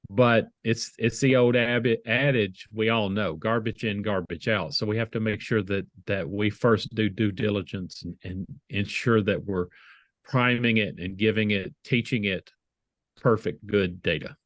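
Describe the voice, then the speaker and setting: Low-voiced Southern American man. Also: steady tone, dry recording. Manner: measured, restarts phrases often. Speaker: guest, IT leader. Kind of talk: video call interview. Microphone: video call.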